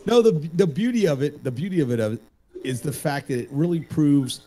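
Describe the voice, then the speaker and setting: A man's voice: a little high, big pitch swings, a little fast, dark tone. A man hosting a casual group talk show, rambling and joking, swearing freely and repeating phrases for emphasis.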